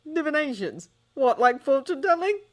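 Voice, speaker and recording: A woman voicing an earnest adult man on the phone who talks in a loud, emphatic way. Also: high-pitched, big pitch swings, normal speed, voice pushed up.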